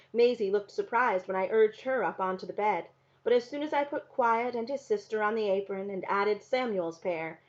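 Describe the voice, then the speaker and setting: A woman reads a novel aloud to her class, calm and unhurried, her voice at a medium pitch. The recording is a little dull and muffled, lo-fi rather than crisp. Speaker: teacher reading to a class.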